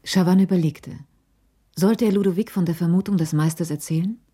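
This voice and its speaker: A woman narrating a radio crime drama in a measured, literary way. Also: lowish voice, mellow, breathy edge.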